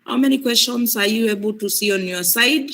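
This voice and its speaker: A woman's voice: a little high, brisk, fairly bright tone. A woman with a cold and a breaking voice, patiently guiding students through an online exam, often repeating her points. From Kenya. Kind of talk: live training demonstration.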